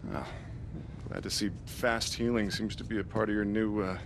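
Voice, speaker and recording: A man's voice: on the low side, fairly even intonation, normal speed, background noise, close to an everyday voice. An actor playing a worried, exasperated lawman in his thirties in a video game, earnest and casual, sometimes hesitant. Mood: uneasy, earnest. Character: worried, exasperated older brother, a young lawman. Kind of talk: cinematic video game acting.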